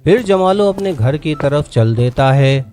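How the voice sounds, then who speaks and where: Fairly high-pitched male story narrator. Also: animated, music in the background.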